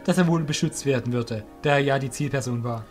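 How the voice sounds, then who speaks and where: A man's voice: mid-range voice, a little fast, faint music behind. A man narrating a fantasy audio drama as a scripted storyteller.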